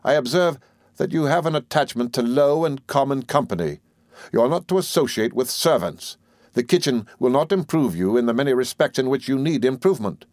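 A male narrator plays a stern Victorian stepfather from a storybook. He speaks formally and gravely, with measured, commanding weight, in a dry, close sound. Every phrase is cold and domineering. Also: medium pitch, lively intonation, a little mellow, dry recording, voice pushed up, natural voice. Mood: stern, forbidding. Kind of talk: audiobook character in a Victorian novel.

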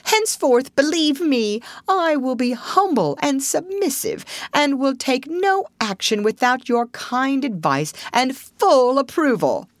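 A vain, melodramatic male toad from a storybook, acted out by a woman. The voice rides high and swings widely, effusive and full of self-pity. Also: sing-song, slow pace, hardly any pauses, no echo, clean studio sound, full character voice. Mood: solemnly repentant. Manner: theatrical, effusive, self-pitying.

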